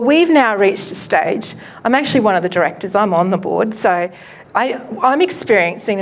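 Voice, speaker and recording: Woman pastor, live presentation, loud chatty voice, mic drops in and out, faint noise behind. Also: mid-range voice. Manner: loud, chatty and self-mocking; laughs often while talking. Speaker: pastor presenting at a software launch. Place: event venue. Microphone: microphone cutting in and out.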